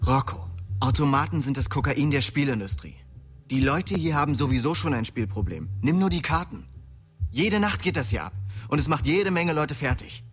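A curt young gang boss. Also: male voice, on the high side, expressive, normal speed, slightly rough. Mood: guarded, stern. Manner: curt, commanding, profane. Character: stern, embittered young male gang boss. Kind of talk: radio crime drama dialogue.

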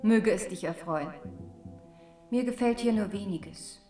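A woman's voice: medium pitch, dark tone, natural voice. Echoey, solemn queen mother, played by an actress. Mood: solemn, caring. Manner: solemn, deliberate. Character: grave queen mother writing to her beloved son. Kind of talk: letter read aloud in radio play. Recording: echoey, slightly distant, faint music.

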